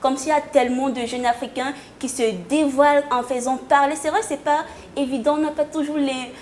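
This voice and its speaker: An enthusiastic young woman presents a segment on a studio chat show, stressing points by repeating them. Her voice sits high, over faint background noise. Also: steady tone, fairly quick, darkish tone. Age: young adult. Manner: enthusiastic, emphatic repetition. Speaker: co-host presenting a fashion segment.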